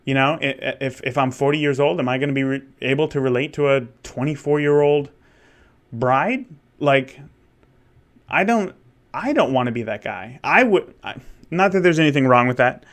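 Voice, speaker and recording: A man's voice: medium pitch, lively intonation, moderate pace. A man of thirty, a wedding photographer, talking candidly in a rambling solo monologue with many restarts. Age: 30s. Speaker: wedding photographer and podcast host.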